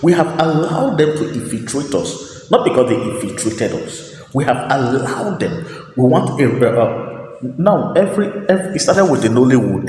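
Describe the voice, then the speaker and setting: A man hosting a talk, speaking his opinions in an emphatic, preachy monologue, repeating phrases and pausing on fillers. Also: medium pitch, darkish tone, slight background noise. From Nigeria. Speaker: host. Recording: roomy echo with long reverb, faint ambience.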